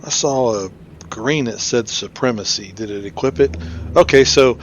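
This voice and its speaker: A man talks casually through a video game as he plays, hosting in a middle-pitched voice. There is clear background noise behind his commentary. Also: normal speed, lo-fi sound. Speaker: gaming video host.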